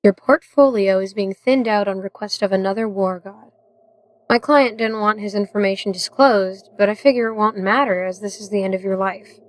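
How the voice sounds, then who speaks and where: A woman's voice: mid-range voice, close to an everyday voice. A woman playing a giant death goddess assassin in an audio drama, with an edged, coldly confident, taunting delivery. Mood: cold, matter-of-fact. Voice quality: edged, sharp. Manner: cool, taunting, unhurried menace.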